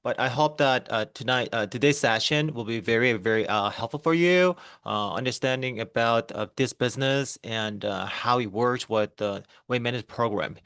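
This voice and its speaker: Korean-accented man, halting online talk. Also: medium pitch, a bit bright, smooth, dry recording, studio quality. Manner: halting, many fillers and restarts. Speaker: network marketing presenter. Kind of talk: online business presentation.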